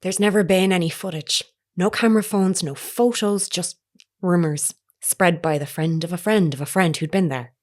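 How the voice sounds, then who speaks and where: Actress as a formal, lowish-voiced woman reporter. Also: a little mellow, lightly acted. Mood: earnest. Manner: formal, measured, insistent. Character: Hannah, earnest persistent woman reporter. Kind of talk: naturalistic audio drama acting.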